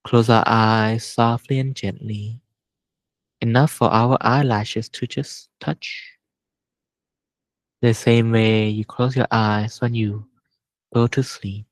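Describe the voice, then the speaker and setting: A man leading a guided meditation as a teacher, speaking gently and unhurriedly with long pauses. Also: medium pitch, level tone, no echo, poor audio.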